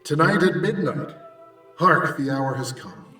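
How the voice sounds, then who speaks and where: A man's voice: on the high side, a little slow, bright, forceful tone. A man playing a solemn, foreboding spirit in an old-time radio drama. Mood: solemn, fading. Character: solemn, foreboding Ghost of Christmas Present, aging spirit. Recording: echo effect, distant, music underneath.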